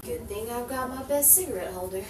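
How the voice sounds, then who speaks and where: A woman's voice: fairly high pitch, brisk, close to an everyday voice. A woman playing a plucky, wry 1940s newspaper reporter in a noir radio play, with theatrical, musing delivery. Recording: echoey, distant, noisy room recording.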